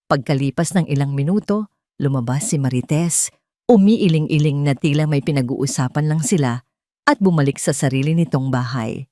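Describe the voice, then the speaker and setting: A woman reading a scripted story as narrator, with a measured, dramatic delivery. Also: sits low, warm tone, dry recording, clean studio sound.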